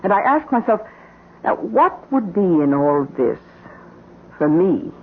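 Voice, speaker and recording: Middle-aged housekeeper blackmailing her boss, husky edge, insinuating n very animated, old radio drama, tinny muffled sound. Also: mid-range voice. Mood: smug, confiding. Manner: deliberate, insinuating, theatrical phrasing. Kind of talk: old-time radio mystery acting.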